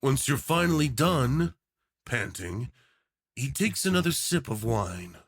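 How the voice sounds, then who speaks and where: A man narrating a scripted audio roleplay in the second person, in a hushed storytelling style. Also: medium pitch, very animated, measured pace, breathy edge, no echo, studio quality. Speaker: narrator and voice actor.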